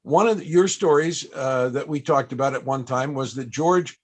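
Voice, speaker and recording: A man hosting a casual panel interview, asking questions and sharing anecdotes in a somewhat halting way. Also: mid-range voice.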